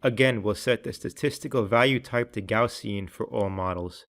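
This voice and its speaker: A man reading scripted tutorial narration in a measured, instructional way, with each phrase clearly enunciated. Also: fairly low pitch, a bit bright.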